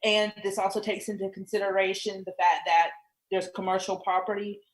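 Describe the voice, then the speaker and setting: Even-pitched woman commissioner. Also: fairly quick, rough recording. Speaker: commission member. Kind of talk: formal deliberation and motion-making.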